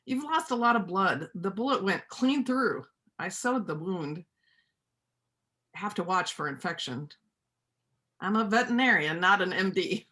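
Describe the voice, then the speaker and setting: Woman playing a terse, lively-pitched country veterinarian. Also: measured pace, long pauses. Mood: brisk, practical. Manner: terse, matter-of-fact. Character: stern, practical rural veterinarian, a minister's wife. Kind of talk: readers' theater play acting.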